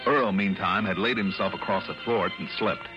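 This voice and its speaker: An actor playing a keen, steady American investigator who narrates his own case in a 1960s radio drama, crisp and dramatic. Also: low voice, moderate pace, music in the background, lightly acted. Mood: upbeat, contented. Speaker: lead character and narrator. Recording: muffled vintage radio recording.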